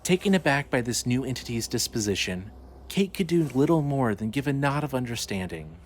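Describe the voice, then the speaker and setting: A man's voice: mid-range voice, expressive, a little fast. A man narrating a scripted dramatic story as the narrator of an audio drama. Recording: faint ambient noise.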